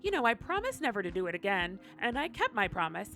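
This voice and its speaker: A woman voicing a shameless, cheeky little goblin reading out tips in a squeaky comic voice. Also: sing-song, quick pace, pushed and bright. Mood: brazen. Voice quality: squeaky, creaky. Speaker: reader of viewer tips. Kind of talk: comedic character reading. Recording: clear music bed behind.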